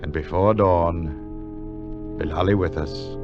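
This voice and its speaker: A low-voiced scholarly adventurer recounts his story in vintage radio-drama fashion. He is earnest and full of awe. Music runs clearly behind him on a dull, aged recording. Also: takes it slowly. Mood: awed narration. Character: male scholarly adventurer narrating, sceptical and awestruck.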